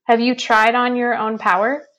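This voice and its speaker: A woman with a slightly raspy voice, a podcast guest speaking bluntly and casually with lots of "like.". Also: fairly high pitch, flat delivery, moderate pace, a bit bright. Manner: blunt, fervent, peppered with "like". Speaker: podcast guest, human design teacher. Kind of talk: casual conversation.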